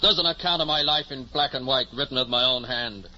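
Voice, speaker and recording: Piercing, insolent convict rogue. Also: male voice, medium pitch, a little fast, sharp tone. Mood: insolent, boastful. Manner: defiant, impatient, boastful. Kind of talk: 1950s radio drama acting. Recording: muffled, hissy old radio recording.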